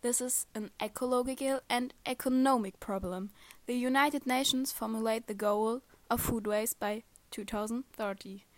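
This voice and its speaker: A woman's voice: a little high, fairly even intonation, moderate pace, dry recording. Earnest young woman, dry sound. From Germany. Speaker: podcast host. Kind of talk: reading prepared remarks.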